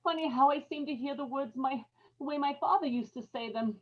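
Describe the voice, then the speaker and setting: Woman acting a bitter haunted murderess wife, old radio horror play, anguished, highish voice, kinda muffled audio. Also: brisk, close to an everyday voice. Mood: bitter, brooding. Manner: dramatic, anguished monologue. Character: tormented bitter young wife confessing to murder.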